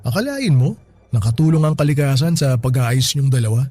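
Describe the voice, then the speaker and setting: A husky, good-humored Filipino boss. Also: male voice, medium pitch, big pitch swings, brisk, mellow, dry recording. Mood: warm, chatty. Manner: chatty, chuckling between remarks. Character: good-humored, friendly male store boss at a wedding. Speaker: actor in a radio drama. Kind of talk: Tagalog radio drama acting, conversational.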